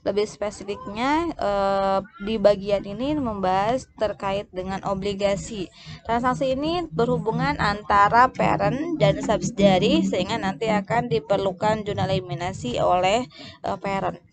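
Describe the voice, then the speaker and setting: A woman with an Indonesian accent giving a recorded lesson step by step, in a halting way that often breaks off and restarts words. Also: medium pitch. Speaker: accounting lecturer. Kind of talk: recorded lecture, explaining step by step.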